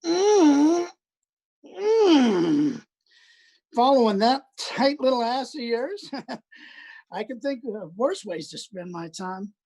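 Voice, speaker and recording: Woman doing a sleazy old lecher, breathy. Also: on the high side, very animated, slow, pauses often, dark tone, full character voice. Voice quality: breathy, leering chuckles. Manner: sleazy, boastful, drawling. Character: lecherous crude older male patient. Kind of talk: livestream play reading.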